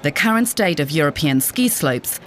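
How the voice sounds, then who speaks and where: A woman with a very low-pitched voice narrates a scripted news report. There is faint noise and ambience behind her. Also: expressive, moderate pace, fairly bright tone.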